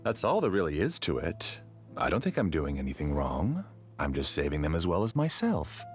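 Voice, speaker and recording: A man acting a smug, obsessive scholar villain in a video game, grandiose and theatrical as he justifies himself. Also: sits low. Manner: grandiose, theatrical, measured exposition. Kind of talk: scripted video game dialogue.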